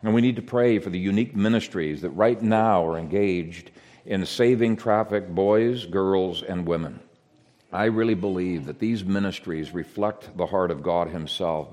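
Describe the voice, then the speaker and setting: A man who grew up in Ethiopia, giving an earnest, measured sermon with frequent hesitations. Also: deep voice, darkish tone. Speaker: preacher.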